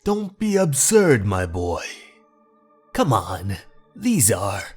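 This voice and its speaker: A man voicing a gruff older male ship's crewman who brushes off a younger sailor's worries. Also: fairly low pitch, huge pitch swings, slow, a little mellow, soft background music, exaggerated voice. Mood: dismissive.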